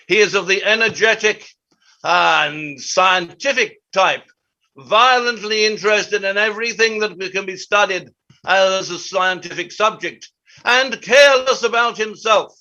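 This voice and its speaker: An actor playing a pompous, exacting playwright who narrates stage directions in a deliberate, declamatory way. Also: male voice, high-pitched, sharp tone, lo-fi sound, exaggerated voice. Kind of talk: theatrical reading of stage directions.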